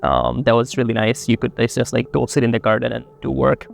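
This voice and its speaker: A young man from Bangladesh talks through his answers in an easygoing, meandering way, leaning on plenty of fillers. His mid-pitched voice has a gravelly, fry-laden edge. Faint music sits underneath. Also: fairly quick. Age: young adult. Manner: relaxed, rambling with many fillers. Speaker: interview guest, student. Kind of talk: casual interview answers.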